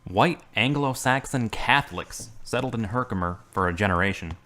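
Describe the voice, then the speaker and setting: A middle-aged actor playing an earnest, devout man recovering from surgery in a radio drama, speaking in a measured way. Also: fairly low pitch, animated, sharp tone.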